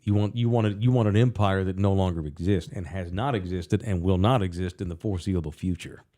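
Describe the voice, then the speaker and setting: A man's voice: low voice, fairly quick, warm tone. A man hosting a casual sports talk show, wry and sardonic, reading sponsor plugs and viewer super chats. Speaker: host.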